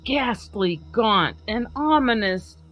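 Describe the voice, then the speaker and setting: A woman hosting a podcast, giving a slow, emphatic dramatic reading of a poem over a video call. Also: fairly high pitch, lively intonation, dull audio, faint music behind. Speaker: podcast host.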